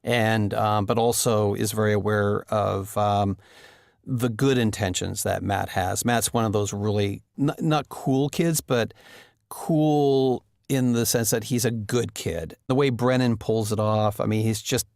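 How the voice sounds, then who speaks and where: A man with a creaky voice in a casual interview, thinking aloud in halting phrases. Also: lowish voice, steady tone, darkish tone, no room sound, clean studio sound. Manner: halting, thinking aloud with repeated "you know". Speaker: director and voice actor being interviewed.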